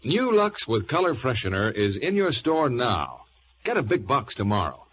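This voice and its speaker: A man's voice: mid-range voice, huge pitch swings, normal speed, fairly bright tone. A man announcing and reading advertising in 1950s radio style. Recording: noisy vintage radio broadcast.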